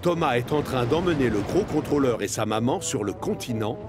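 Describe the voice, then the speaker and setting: A man's voice: mid-range voice, lively intonation, fairly quick, lightly acted. A man with a standard French accent narrating a children's cartoon in a scripted storytelling style. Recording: music behind the voice.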